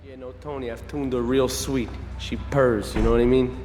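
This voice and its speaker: An actor playing a cocky young mobster and mechanic, smug and casual. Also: male voice, mid-range voice, fairly even intonation, moderate pace, darkish tone, reverberant, in a large hall, soft background music, lightly acted.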